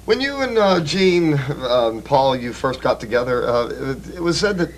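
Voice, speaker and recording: A man interviewing a band, halting with many fillers. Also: medium pitch, very animated, poor audio. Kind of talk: interview questions.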